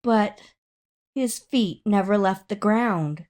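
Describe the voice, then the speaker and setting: A woman reading a children's story aloud in an expressive, dramatic way, drawing out words for emphasis. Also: mid-range voice. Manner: dramatic, with drawn-out emphasis and acted sound words. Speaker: storybook reader.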